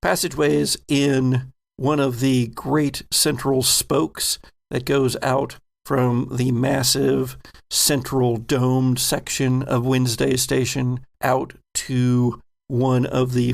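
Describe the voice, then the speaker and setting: A man narrating a horror sci-fi tabletop game as game master, in a deliberate, descriptive way. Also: medium pitch, level tone, gravelly.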